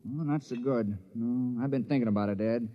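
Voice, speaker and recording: A man's voice: medium pitch, fairly quick, natural voice. An actor playing a reluctant, mild village man cast as official criminal, speaking in a plain, hesitant, folksy way in an old radio drama. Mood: troubled. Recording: dull vintage radio recording.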